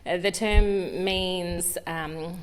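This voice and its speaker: A woman's voice: lowish voice, steady tone, extremely slow. Hesitant Australian woman in a reverberant gallery. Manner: hesitant, lots of fillers and restarts. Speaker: guest artist. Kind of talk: candid conversational interview.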